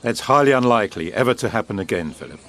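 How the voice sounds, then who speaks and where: A man acts a polished British government propagandist in a period audio drama. His voice is low, his phrasing grand, measured and self-assured. Birdsong and background noise sit behind him. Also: animated, fairly bright tone. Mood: smooth, amused. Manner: urbane, eloquent, measured, grandiloquent. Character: suave, confident British wartime propaganda official.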